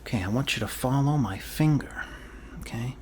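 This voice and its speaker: A man doing an improvised ASMR role-play as a doctor, talking in a halting, deliberate, deadpan way to a patient. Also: medium pitch, a little hiss or hum. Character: blunt, casually shady male family doctor reassuring a patient. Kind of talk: ASMR medical role-play, improvised personal-attention talk.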